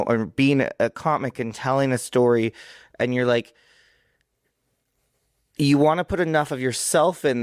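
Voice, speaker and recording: A man's voice: medium pitch, clear, resonant tone, dry recording, studio quality. Rambling, easygoing male host. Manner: rambling, laughs easily. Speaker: podcast host. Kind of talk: casual interview chat.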